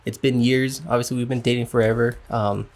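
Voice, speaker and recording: A man's voice: mid-range voice, flat delivery, a little mellow. A man co-hosts a casual podcast with his partner. He talks in a hesitant way, breaking off and restarting his sentences. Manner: halting, hesitant, lots of restarts. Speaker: podcast co-host. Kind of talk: casual chat.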